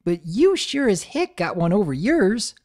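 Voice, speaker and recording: A woman's voice: medium pitch, very animated, a little slow, dark tone, voice pushed up. A woman voicing a plucky young country filly who speaks bluntly, with folksy slang. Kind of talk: dramatic audiobook reading.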